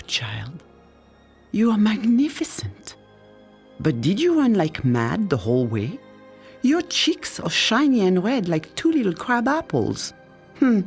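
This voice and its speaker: A woman voicing an affectionate, frail older woman mentor in a storybook, tender and gently teasing. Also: on the low side, pitched above the performer's own voice, full character voice. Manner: tender, exclaiming, doting. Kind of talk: storybook character voice.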